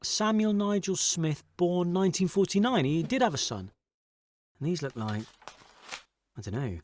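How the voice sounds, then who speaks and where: An actor playing an anxious, hesitant man new to a house-clearing job in a naturalistic audio drama. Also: sits high, animated, normal speed, a little mellow. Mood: distracted, curious. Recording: clear background noise.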